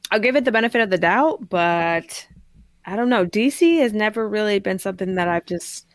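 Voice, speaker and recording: A woman's voice: mid-range voice, expressive, brisk, no echo. A woman co-hosting a podcast, chatting casually about films in a tentative, conversational manner. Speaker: podcast co-host.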